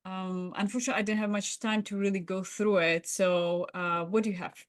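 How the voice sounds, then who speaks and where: A woman's voice: mid-range voice, steady tone, fairly quick, natural voice. A woman playing a busy female boss in a workplace roleplay, hesitant and evasive as she deflects an employee. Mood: breezy, hurried. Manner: hesitant, evasive, breezily dismissive.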